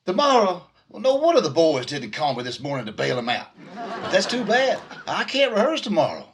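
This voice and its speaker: An actor playing a brash, jovial, self-satisfied bandleader, breezy and slangy, in 1940s radio comedy style. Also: male voice, high voice, wildly swooping pitch, a little fast, breathy edge, exaggerated voice.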